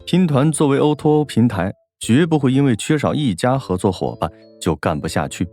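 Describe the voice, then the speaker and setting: A man's voice: medium pitch, expressive, fairly quick, darkish tone, soft background music. A man reading a scripted novel as an audiobook narrator.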